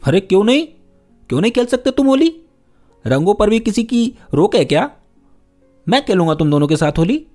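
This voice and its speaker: A man's voice: high-pitched, big pitch swings, a little fast, pauses often, a little mellow, faint music behind, pitched above the performer's own voice. A man voicing a confident, friendly young boy from a rich home in a story, insistent and inviting. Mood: cheerful.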